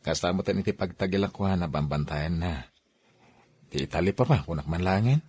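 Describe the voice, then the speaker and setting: A man's voice: deep voice, a little fast, darkish tone, slightly rough. A man playing a needy, coaxing husband in an audio drama, with an Ilocano accent. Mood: welcoming. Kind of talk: dramatized radio-style story acting.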